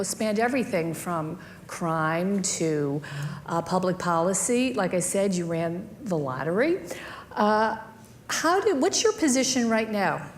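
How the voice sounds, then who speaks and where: A woman leads a stage interview in a chatty, lively way, tossing in quick asides. Her voice sits in a middle pitch. A faint hiss of noise lies under the recording.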